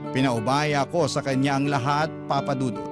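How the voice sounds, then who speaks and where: A man's voice: medium pitch, fairly even intonation, moderate pace. A man with a Filipino accent narrating a scripted first-person story as a radio drama host reading a letter. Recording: clear guitar music under the voice.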